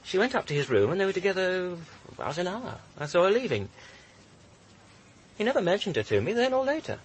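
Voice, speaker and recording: A man's voice: high voice, big pitch swings, fairly quick, slight background noise. A man with a British accent playing a flippant, supercilious young student in a radio drama, arch and witty. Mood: guarded. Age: young adult.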